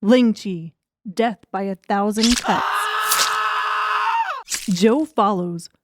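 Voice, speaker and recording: A woman's voice: mid-range voice, very slow, shouting. Woman narrating a thriller, noisy recording. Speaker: audiobook narrator. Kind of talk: dramatic scripted narration in present tense.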